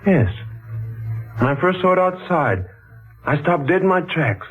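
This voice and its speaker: Puzzled, polite radio-drama houseguest: husky, halting, widely inflected. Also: male voice, fairly high pitch, expressive. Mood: wondering, uneasy. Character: puzzled, polite male houseguest haunted by familiarity. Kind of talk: 1940s radio acting. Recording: muffled phone-like 1940s radio recording, faint music.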